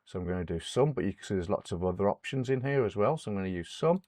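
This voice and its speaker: A middle-aged man, hoarse from a cold, hosting a screen-recorded spreadsheet tutorial. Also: lowish voice, expressive, quick pace, a little mellow, no room sound, clean studio sound. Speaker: host of an Excel tutorial channel.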